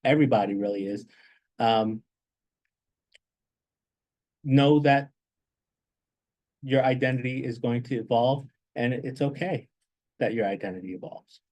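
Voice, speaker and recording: A man giving reflective advice as a guest speaker, in a halting way that repeats words as he thinks. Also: mid-range voice, darkish tone. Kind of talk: reflective advice in an informal talk.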